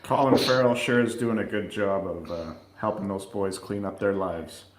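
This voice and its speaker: A man with a fairly low voice banters about movies as a co-host. He is casual and wry, joking as he goes. The recording is noticeably echoey and roomy. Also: moderate pace. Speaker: co-host of a film review show. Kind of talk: casual chat, film review banter. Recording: roomy, echoing sound.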